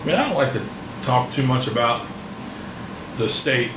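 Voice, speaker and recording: A man's voice: medium pitch, very animated, normal speed. A man in his forties talking casually and bluntly as an interviewer, informal and given to swearing. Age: 40s. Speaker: podcast host, tattoo artist. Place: indoors in a tattoo shop. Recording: roomy, noisy air-conditioned room.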